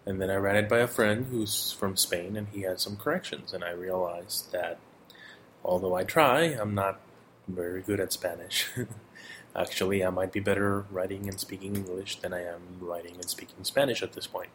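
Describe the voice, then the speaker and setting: A middle-aged man from Mexico giving a casual personal update, relaxed and rambling, with fillers and self-corrections. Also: sits low, normal speed, slight background noise. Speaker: audio blogger.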